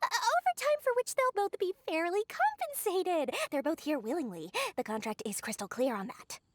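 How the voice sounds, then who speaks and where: A woman's voice: extremely high pitch, big pitch swings, moderate pace, a little hiss or hum. A woman voicing a wily, self-assured and wordy character in a fantasy video game, with theatrical delivery. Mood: defensive. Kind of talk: English video game voice acting. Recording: dry studio voice with music behind.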